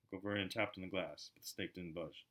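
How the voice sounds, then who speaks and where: Bright-voiced man narrating a storybook. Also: sits low, steady tone, fast. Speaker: amateur audiobook reader.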